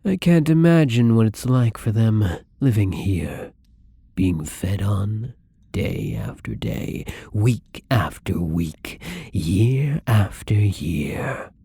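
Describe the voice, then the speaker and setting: Casting: male actor for a timid, courteous new vampire in ASMR roleplay; very breathy, dark-toned, unhurried, halting. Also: fairly low pitch, big pitch swings, no echo, full character voice. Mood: sympathetic, subdued. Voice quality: breathy, often slipping into whispers. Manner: hesitant, halting, polite, soft-spoken. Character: shy, gentle, newly turned male vampire, apologetic and hungry. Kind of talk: intimate ASMR roleplay acting.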